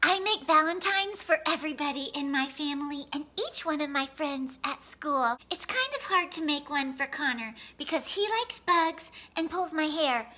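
A woman in her thirties reads a picture book aloud for children. Her voice is high and bright, and her delivery is animated and expressive. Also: normal speed, few pauses. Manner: expressive, clearly phrased for children. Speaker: storyteller reading children's books aloud. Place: living room at home, indoors.

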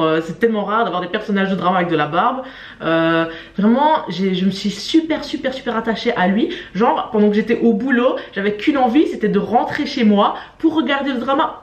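A woman's voice: mid-range voice, highs cut off. A young adult woman chatting casually and expressively to camera in an informal vlog, often stressing her words. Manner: informal, expressive, emphatic repetition. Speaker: YouTube vlogger presenting to camera. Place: small room at home. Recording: roomy indoor echo.

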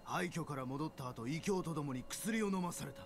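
An actor playing a grim, urgent village survivor in a dark fantasy game. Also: male voice, a little high, expressive, normal speed, over music.

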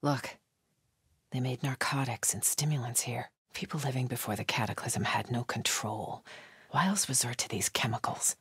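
Husky, very low-voiced devout cult soldier. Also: female voice, moderate pace, no echo, clean studio sound, full character voice. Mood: solemn, watchful. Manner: solemn, guarded, terse. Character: stern, devout female cult soldier on patrol. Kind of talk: video game enemy dialogue.

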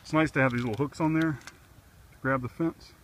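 A man's voice: mid-range voice, fairly even intonation, brisk, fairly bright tone, a little hiss or hum. A man working outdoors in a wooded backyard, talking through his fence job into a handheld camera in a plain, practical way, with fillers as he thinks aloud. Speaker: DIY vlogger. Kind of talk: casual how-to narration. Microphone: handheld camera mic.

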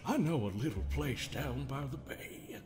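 A man's voice: mid-range voice, lively intonation, moderate pace, over loud music, natural voice. A man voicing an unhinged, sardonic therapist with folksy menace, theatrical and mocking, in an improvised comedy dub of a horror game. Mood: sly, amused.

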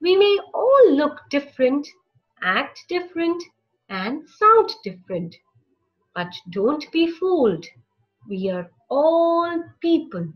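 A woman narrating a picture book for young children, with deliberate, expressive phrasing and short pauses. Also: sits high, highs cut off. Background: music.

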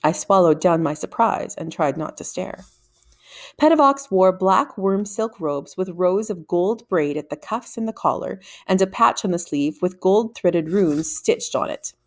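A middle-aged woman narrates a children's novel. Her voice sits a little low for a woman. She reads deliberately, leaving small pauses. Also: animated. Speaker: read-aloud narrator. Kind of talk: children's novel read aloud. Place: small room at home, indoors. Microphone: desktop microphone in front of her.

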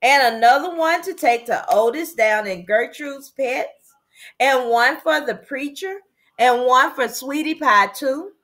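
A woman reads the lines of a lonely, curious young girl from a children's book. She is earnest and plain-spoken. The delivery is slow, with a wide, lively range of pitch. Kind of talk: storybook character read aloud.

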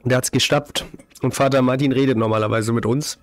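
A hoarse man in his thirties with a cold, casually commenting on a horror game at a desk microphone, reacting jumpily to scares. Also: mid-range voice, fairly even intonation, brisk, no room sound. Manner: chatty, jumpy reactions to scares. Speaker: let's play host. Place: home streaming room, indoors. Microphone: desk microphone, headset on.

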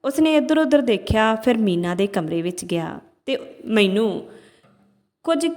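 A woman's voice: on the high side, a bit bright. A woman narrating a dramatic first-person story from a script, as a storyteller. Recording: long hall-like reverb on voice.

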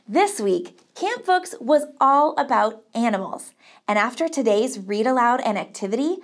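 A middle-aged woman presenting to young children as a camp counselor host, with an enthusiastic, exaggerated scripted delivery. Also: high-pitched. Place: small decorated indoor studio set.

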